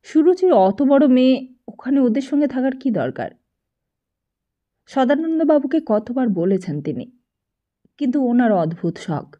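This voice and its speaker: A woman reading a literary story aloud as an expressive audiobook narrator. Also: high voice, a little slow, long pauses. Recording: dull lo-fi sound.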